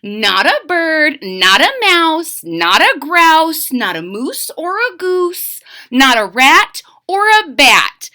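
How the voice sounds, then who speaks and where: A woman voicing a scolding, exasperated mother cat in a storybook read-aloud, lecturing with emphatic, drawn-out words. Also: sits high, very animated, pushed and bright, pitched above the performer's own voice, full character voice. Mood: stern scolding.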